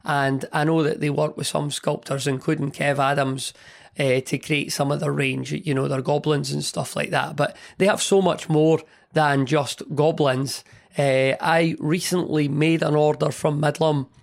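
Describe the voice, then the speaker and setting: Man with a hoarse, partly lost voice. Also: a little high, flat delivery, measured pace. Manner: rambling, chatty, friendly enthusiasm. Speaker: podcast host. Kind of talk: casual hobby podcast monologue.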